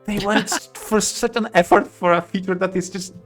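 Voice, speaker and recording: A man's voice: high voice, steady tone, normal speed, a bit bright, soft background music. A man co-hosting a podcast in casual banter, giving opinions in an emphatic, playfully stubborn way. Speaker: podcast co-host.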